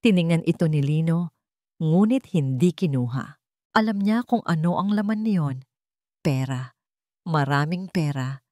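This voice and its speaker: Female storyteller, low register, scripted dramatic narration, very dry recording. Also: expressive, measured pace, long pauses, warm tone, no echo.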